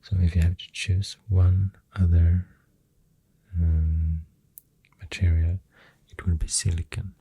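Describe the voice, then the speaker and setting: An ASMR host with a Swedish accent, rambling softly and hesitantly in a breathy voice that often drops to a whisper, close to the mic over pencil sounds. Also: low-pitched, level tone. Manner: hesitant, unhurried musing. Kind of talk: soft-spoken ASMR rambling. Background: pencil drawing and clicking sounds.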